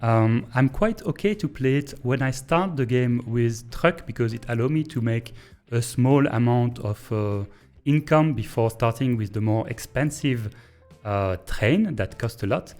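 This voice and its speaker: A man's voice: mid-range voice, animated, a little mellow. French-accented man thinking aloud. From Belgium. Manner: thinks aloud, hesitant with many pauses and fillers. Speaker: game streamer. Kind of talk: casual live-stream gameplay commentary.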